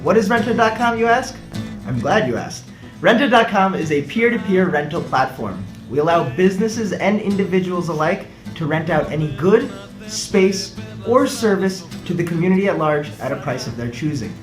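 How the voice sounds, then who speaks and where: Earnest American startup founder, echoey room. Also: male voice, sits high, expressive, moderate pace. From United States. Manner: earnest, speechlike delivery with rhetorical questions. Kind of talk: scripted pitch video. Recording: echoey room, faint music behind.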